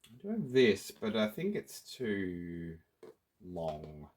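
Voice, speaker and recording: Man, Australian accent, low voice, lively pitch, slow pace, playful workshop chat. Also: a little hiss or hum. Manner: playful, chatty, talks to viewers while working. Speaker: prop maker. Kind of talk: casual workshop tutorial.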